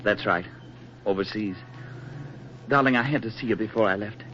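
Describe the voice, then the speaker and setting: An actor playing an affable, earnest young American soldier and sweetheart in a 1940s radio drama. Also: male voice, lowish voice, normal speed, fairly bright tone, natural voice. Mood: urgent, loving. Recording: muffled, noisy 1940s radio recording.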